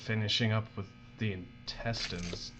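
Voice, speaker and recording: An actor playing an uneasy young novice worker, subdued and hesitant, in a dark audio drama. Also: male voice, on the low side, fairly even intonation, a little slow, music in the background, close to an everyday voice. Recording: dull audio with clear mains hum.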